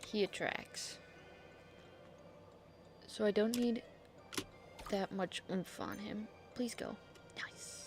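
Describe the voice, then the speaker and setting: A woman's voice: medium pitch, fairly even intonation, slow pace, long pauses. Woman muttering through casual gameplay, music behind. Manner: muttering to self in short reactive remarks. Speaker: game streamer. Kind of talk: casual gameplay commentary, thinking aloud. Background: video game music.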